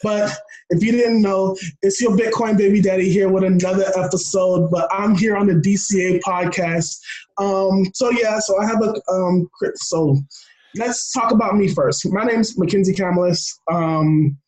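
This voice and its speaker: A young American man talking casually as an interview guest, excitable and rambling, often restarting his phrases. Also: sits high, moderate pace, poor audio. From Florida, United States. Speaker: podcast guest.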